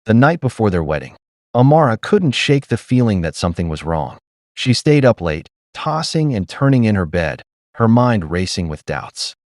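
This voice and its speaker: A man narrating a scripted dramatic story in a solemn, measured storytelling manner. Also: on the low side, big pitch swings, darkish tone, dry recording, clean studio sound.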